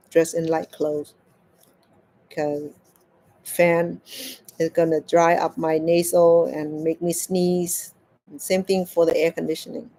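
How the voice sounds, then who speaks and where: Woman telling a story haltingly. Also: lowish voice, dark tone, low-quality recording. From Cambodia. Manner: halting, repeats words as she searches for them. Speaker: crochet vlogger. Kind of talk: casual storytelling while crafting.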